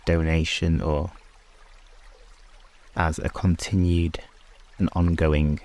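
Very deep, extremely slow man's voice. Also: little pitch movement. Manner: hushed, unhurried, long pauses between short phrases. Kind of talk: slow guided sleep meditation and prayer.

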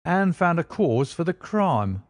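A man's voice: on the high side, very animated, measured pace, no room sound, pitched above the performer's own voice. A man reading an audiobook, voicing an eager, self-satisfied male police inspector who lectures with confidence. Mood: eager, boastful. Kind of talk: audiobook character voice.

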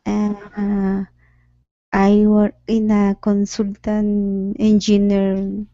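A woman's voice: mid-range voice, monotone, extremely slow, dark tone, no room sound. A Colombian woman whose first language is Spanish, speaking hesitant learner English with a Colombian Spanish accent in an online class. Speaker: student. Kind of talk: halting lesson conversation. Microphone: video call. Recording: muffled video call audio.

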